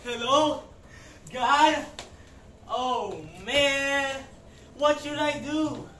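A man's voice: very high-pitched, steady tone, very slow, bright, forceful tone, a little hiss or hum, exaggerated voice. A teenage boy playing Jonah, a reluctant, anxious runaway prophet, with exaggerated pleading in a school stage play. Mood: scheming. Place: small indoor hall stage. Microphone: distant camera. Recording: echoey hall, far camera, music behind.

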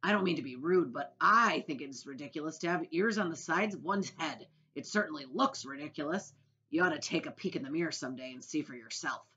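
A woman voicing a rude, brash male centipede in a storybook, loud and mocking. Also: sits low, fairly quick, husky, full character voice. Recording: dull lo-fi recording.

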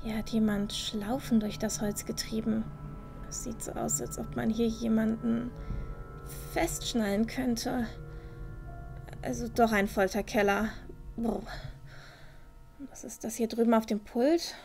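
A woman's voice: on the high side, flat delivery, measured pace, vocal fry, long pauses, over music. A woman playing a curious, adventurous young village girl in a fantasy audio drama, often muttering to herself. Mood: curious, musing.

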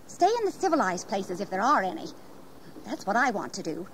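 An actress playing an anxious, devoted, genteel young wife in a historical audio drama, fretful and hesitant. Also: female voice, medium pitch, big pitch swings, fairly quick, natural voice. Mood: worried. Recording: noisy 1980s audio drama tape.

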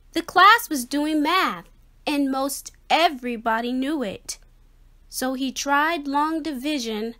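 A woman reading a children's picture book aloud, expressively and with a measured, rhyming rhythm. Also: sits high, sharp tone. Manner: measured, rhythmic delivery of rhyme. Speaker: children's story reader.

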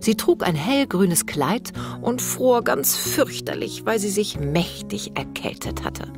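A woman narrating a scripted children's bedtime story in an expressive read-aloud manner. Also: medium pitch, takes it slowly, vocal fry, music in the background.

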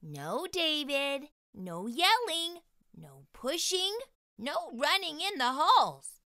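A woman voicing a stern, exasperated female schoolteacher, scolding loudly and emphatically in a storybook read-aloud. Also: high voice, big pitch swings, slow, no echo, clean studio sound, pitched above the performer's own voice, heavily acted.

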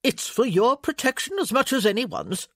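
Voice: mid-range voice, big pitch swings, normal speed, fairly bright tone, no room sound, clean studio sound, pitched above the performer's own voice. A storybook narrator voicing a puffy, over-eager little lord who prattles on insistently.

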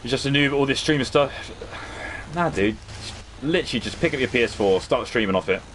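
A man's voice: medium pitch, lively intonation, fast, fairly bright tone, a little hiss or hum, poor audio. A young man chatting casually while streaming a shooter game and answering his chat. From United Kingdom. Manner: chatty, laid-back, reads and answers chat. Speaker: gaming livestreamer. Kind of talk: casual live commentary over gameplay.

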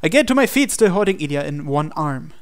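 A man's voice: fairly high pitch, very animated, a little fast, smooth. A man reading a visual novel aloud as dramatic first-person narration, with an earnest, intense and theatrical delivery. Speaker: let's-play reader of a visual novel.

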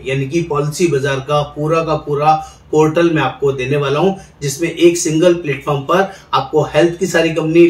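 A middle-aged man sits in a studio chair and pitches straight to camera in a persuasive, salesy way, calling his viewers friends. His voice sits a little high. He sounds set back from the microphone, in a room with a noticeable echo. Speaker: insurance agent recruiter, channel host. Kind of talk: direct-to-camera promotional explainer. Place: indoor studio, seated in office chair. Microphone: lapel mic. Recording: roomy echo, somewhat distant mic.

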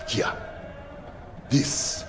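An actor playing a fervent, gun-loving former warlord turned preacher in a game, theatrical, swinging from hushed to thundering. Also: male voice, drawn-out words, over loud music. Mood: hushed, grave. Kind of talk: scripture-quoting sermon in a game cutscene.

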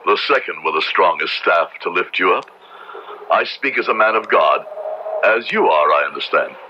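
A man's voice: low voice, lively intonation, moderate pace. A man playing a stern, righteous Puritan minister in old radio drama style, pompous and sermonizing in formal archaic speech. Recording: muffled, noisy old radio drama recording.

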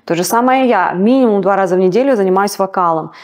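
Emphatic woman singer, motivational talk. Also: fast. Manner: emphatic, motivational, pitch jumps up on key words. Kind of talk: interview guest.

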